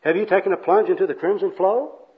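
A man's voice: high voice, fast, narrow, tinny audio, voice pushed up, natural voice. A man voicing a pious old gospel worker in an amateur comic skit, with a fervent, florid, preachy delivery.

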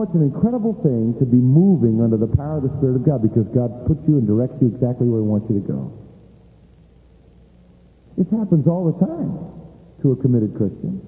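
Preacher asking rhetorical questions, echoey. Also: male voice, medium pitch. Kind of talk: sermon. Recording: echoey hall on a tinny line.

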